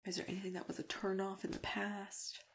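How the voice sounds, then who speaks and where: Woman playing a soft whispery, creaky-voiced matchmaker. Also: mid-range voice, flat delivery, fairly quick, lightly acted. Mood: tactful, hesitant. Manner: gentle, hesitant, murmured acknowledgements. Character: kindly, patient female monster matchmaker interviewing a client. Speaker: ASMR roleplay performer. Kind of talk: ASMR roleplay, soft-spoken and whispered. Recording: clear background noise, keyboard typing and clicks. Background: computer keyboard typing.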